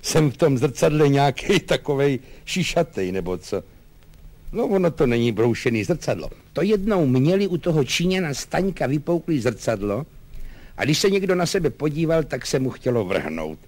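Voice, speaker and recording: Storybook soldier servant, male performer, cheerful simpleton, obsequious and chatty, colloquial, very animated intonation. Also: a little high. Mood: folksy rambling. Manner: deferential, folksy, colloquial rambling.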